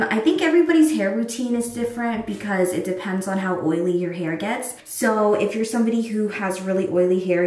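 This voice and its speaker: A young woman chats her way through a casual vlog, rambling with lots of fillers. Her voice sits at a medium pitch. It is picked up from a little way off in a roomy, echoey space. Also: a little mellow. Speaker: lifestyle vlogger. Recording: roomy, mic at a distance.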